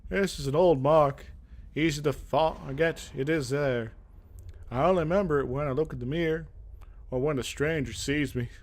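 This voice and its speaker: A man voicing a scarred young fantasy game warrior, bitter but earnest and eager to prove himself, with a solemn, measured, dramatic delivery. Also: on the high side, close to an everyday voice. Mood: bitter, resigned.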